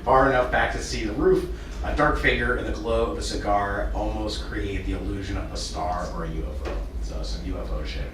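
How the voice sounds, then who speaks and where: A man's voice: on the low side, brisk, fairly bright tone. A man reading a screenplay's scene directions aloud at a table read, loose and chatty. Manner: loose and chatty, with fillers and swearing. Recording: echoey room, distant mic, noisy.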